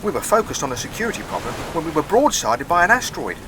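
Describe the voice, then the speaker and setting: An actor playing a hapless, wheedling castaway spacer in an audio drama, hesitant and pleading. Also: male voice, on the high side, sing-song, fast talker. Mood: shaken. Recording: noisy rain and storm effects throughout.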